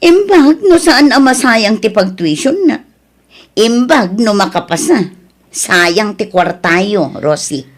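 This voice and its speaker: A woman's voice: medium pitch, very animated, slow. A woman with an Ilocano accent playing a boastful, scolding mother who mocks the neighbours' children in an audio drama. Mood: disdainful gossip. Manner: haughty, scolding, gossipy.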